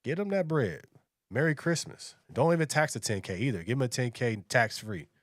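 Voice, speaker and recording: A man's voice: mid-range voice, animated, normal speed, no echo, studio quality. An American man giving casual, opinionated commentary as a reaction video host, in slangy, conversational speech. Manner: colloquial, slangy, conversational delivery.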